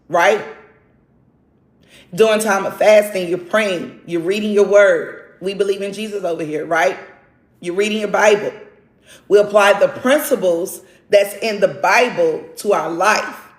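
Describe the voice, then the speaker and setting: A woman's voice: medium pitch, echoey room. A woman in her forties giving an earnest, faith-driven motivational talk, tagging her points with a questioning "right?". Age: 40s. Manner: keeps checking in with a questioning "right?". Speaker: motivational livestream host. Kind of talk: casual motivational monologue.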